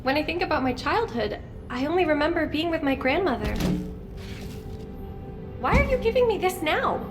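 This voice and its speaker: A very high, youthful female soldier, spirited and stubborn with a vulnerable edge. Her delivery is expressive game cutscene acting, set under loud music. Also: moderate pace, long pauses. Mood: hurt, defiant.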